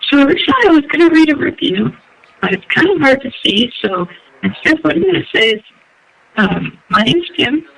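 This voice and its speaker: Man as artificial female preset voice: high, bright, electronically shifted, neutral test read over a noisy phone recording. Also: big pitch swings, brisk, full character voice. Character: synthetic female voice preset of a voice changer. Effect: electronic voice changer raising pitch. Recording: noisy recorded phone call, creaky processing.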